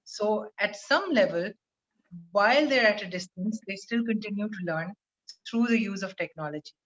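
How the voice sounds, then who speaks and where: A woman, explaining her school program in a steady, articulate video call interview from a room at home. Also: mid-range voice, moderate pace. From Pakistan. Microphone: webcam on video call. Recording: muffled video call audio.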